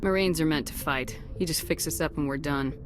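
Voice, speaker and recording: A woman's voice: low voice, brisk, a little hiss or hum, natural voice. A woman acting a tough, no-nonsense marine commander in a video game, curt and authoritative. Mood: stern. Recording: music behind the voice. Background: music.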